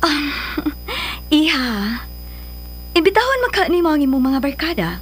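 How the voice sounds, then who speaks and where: High-pitched doting Filipino mother. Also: sing-song, measured pace, a bit bright, a little hiss or hum, heavily acted. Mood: uneasy, guarded. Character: mother of a grown daughter, doting yet guarded. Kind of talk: Philippine radio drama acting.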